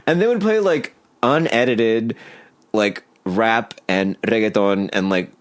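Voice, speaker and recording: A man whose first language is Spanish, a podcast guest chatting casually and telling stories in animated, slangy talk full of filler "like". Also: on the low side, slow pace. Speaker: podcast guest, singer and songwriter. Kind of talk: casual chat.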